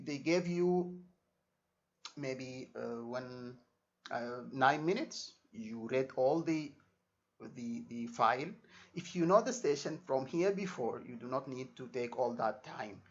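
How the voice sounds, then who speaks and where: A man's voice: mid-range voice, takes it slowly. A man giving an informal exam lesson as a tutor, repeating himself and checking understanding with short tags. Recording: dull lo-fi microphone sound.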